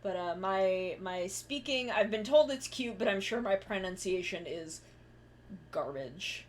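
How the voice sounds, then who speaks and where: A woman's voice: medium pitch, animated, measured pace, a little hiss or hum. A woman streamer chatting casually while she draws, rambling and correcting herself mid-sentence, with the odd swear. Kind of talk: casual chat while drawing.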